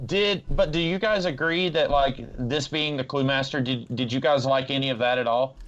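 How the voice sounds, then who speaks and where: A man's voice: mid-range voice, normal speed, fairly bright tone, faint music behind. A man giving his opinions in a casual, informal way as a podcast co-host. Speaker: podcast co-host reviewing comics. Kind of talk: casual discussion. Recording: muffled lo-fi mic with background noise.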